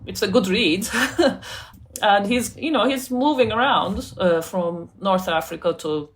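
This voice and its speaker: A woman's voice: medium pitch, measured pace. A woman from Turkey, an author and scholar, talking casually as an interview guest, thoughtful, laughing often and restarting her sentences.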